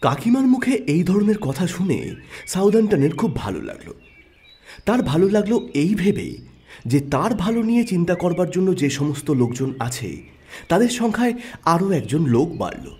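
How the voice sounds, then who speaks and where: Husky, expressive male storyteller. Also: sits high, moderate pace. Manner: expressive, rising and falling storytelling. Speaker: narrator. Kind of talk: storytelling narration.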